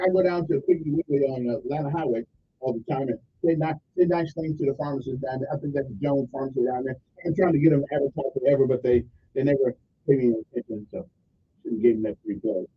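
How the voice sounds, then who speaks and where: A man's voice: a little high, muffled recording, a little hiss or hum. A man hosting a radio talk show, chatting in a folksy, rambling way with lots of asides. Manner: folksy, chatty, rambling asides with repeated "okay" and "I'm just saying". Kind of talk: casual radio talk, listing the day's observances.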